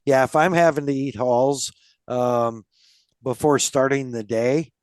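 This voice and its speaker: A man's voice: medium pitch, steady tone, a little slow, a little mellow, no echo, clean studio sound. A man hosting a casual podcast interview, rambling with lots of fillers and restarts. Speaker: podcast host.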